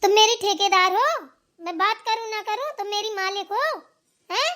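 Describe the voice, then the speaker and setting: A woman's voice: very high voice, moderate pace, bright, forceful tone. A woman voicing a mouthy, defiant younger sister-in-law in a Hindi family drama cartoon, argumentative in manner.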